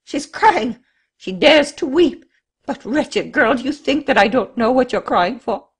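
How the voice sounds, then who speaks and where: A woman's voice: lowish voice, animated, moderate pace. Woman doing a raging crippled villain. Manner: wild swings from pleading to shouting. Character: murderous crippled man, desperate and raging.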